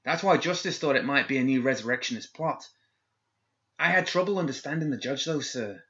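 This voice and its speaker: A man's voice: mid-range voice, animated, brisk, pushed and bright, lo-fi sound, voice pushed up. A man voicing a nervous young male guard who stammers and hesitates as he reports.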